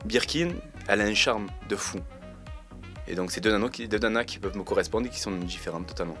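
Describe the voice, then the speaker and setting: A man, answering interview questions in a relaxed, slangy way and pausing often with fillers. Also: lowish voice, faint music behind. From Marseille, France. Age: 20s. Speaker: reality TV contestant interviewed.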